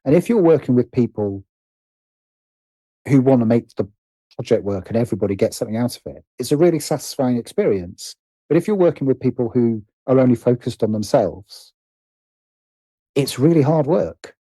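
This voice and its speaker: A man's voice: mid-range voice, animated, moderate pace, warm tone, dry recording, low-quality recording. A middle-aged British man speaking reflectively as an interview guest, often stopping to rephrase himself. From Britain. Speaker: guest, writer and producer.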